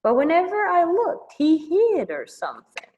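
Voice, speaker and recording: A woman's voice: very high-pitched, wildly swooping pitch, moderate pace, a little mellow, dull audio. A woman hosting a children's storytime, reading aloud playfully with casual, exclamatory asides. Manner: playful, exclamatory, commenting as she reads. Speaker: children's librarian storytime host.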